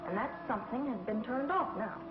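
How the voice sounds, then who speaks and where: A woman's voice: a little high, expressive, brisk, close to an everyday voice. A woman playing an earnest space traveler. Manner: earnest, questioning. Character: young woman space traveler, anxious and sharp. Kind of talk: 1950s TV sci-fi acting. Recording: dull old 1950s TV soundtrack, loud music behind.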